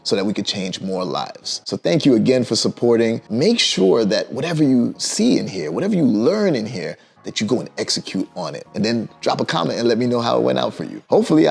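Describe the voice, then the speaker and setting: A man from Jamaica hosting a business podcast, talking in a lively, encouraging way and often restarting his sentences. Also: medium pitch, vocal fry, breathy edge. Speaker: podcast host. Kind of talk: casual interview.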